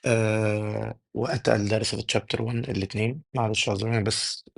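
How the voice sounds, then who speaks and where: A young man with an Egyptian Arabic accent tutoring exam chemistry, his voice worn and going hoarse, firing questions at the class and answering them himself. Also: fairly low pitch, little pitch movement. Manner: rapid drilling, asks and answers his own questions. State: losing his voice. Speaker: chemistry teacher. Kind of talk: exam-prep tutoring lesson.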